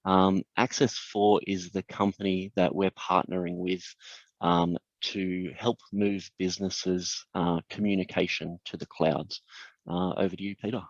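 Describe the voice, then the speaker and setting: A man's voice: low-pitched, little pitch movement, a little slow, no room sound. A man hosting a webinar, opening it with a hesitant introduction full of "um" and "uh" before handing over to the guest. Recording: dull dry video call audio.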